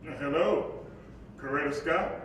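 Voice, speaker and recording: Man playing dignified civil rights preacher reminiscing, high slow voice, stage reading, echoey hall. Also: fairly bright tone. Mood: polite, formal. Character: dignified spirit of a preacher leader, recalling his youth. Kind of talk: stage monologue in a play reading. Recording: echoey hall from far off, faint rumble.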